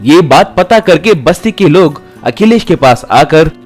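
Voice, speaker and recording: A man's voice: a little high, animated, unhurried, soft background music. A man reading a scripted moral tale as a storytelling narrator.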